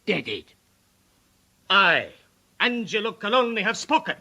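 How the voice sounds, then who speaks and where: A man's voice: sits high, very animated, slow, bright, forceful tone, dry recording, heavily acted. A man playing a bullying, hot-tempered Italian crime boss with an Italian accent in a comic radio drama, domineering and menacing. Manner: domineering, menacing, mixes Italian into his speech. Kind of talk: radio comedy drama acting.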